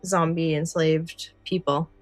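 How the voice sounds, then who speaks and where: A woman's voice: low-pitched, level tone, slow pace, dry recording, faint music behind. A woman co-hosting a podcast in casual discussion, thinking aloud in hypotheticals and asides. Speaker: podcast co-host.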